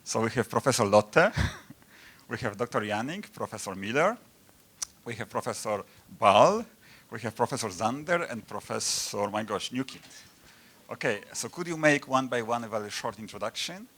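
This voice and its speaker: A man's voice: mid-range voice, animated, a bit bright. Man moderating an academic panel. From Poland. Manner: halting, informal phrasing. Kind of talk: introducing a panel discussion.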